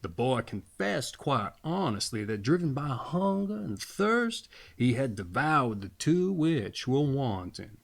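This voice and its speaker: A man reading a fairy tale aloud as a storyteller, in a theatrical, deliberate way. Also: medium pitch, very animated, vocal fry.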